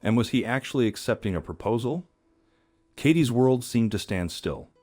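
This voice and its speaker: A man's voice: mid-range voice, lively intonation, moderate pace, a little mellow, no room sound. A man reading scripted story narration in a dramatic storyteller manner.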